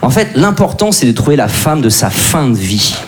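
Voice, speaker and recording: A man's voice: medium pitch, big pitch swings, normal speed, very noisy. A man in his forties doing a crude, brash, self-deprecating stand-up set. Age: 40s. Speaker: stand-up comedian. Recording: live show with audience.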